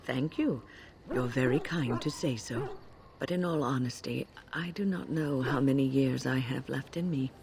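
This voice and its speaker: An actress playing a kindly, weary elderly woman mage in a video game, speaking gently and with dignity. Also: extremely low pitch, normal speed, a little hiss or hum. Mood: wistful, gentle. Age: older. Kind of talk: video game character dialogue.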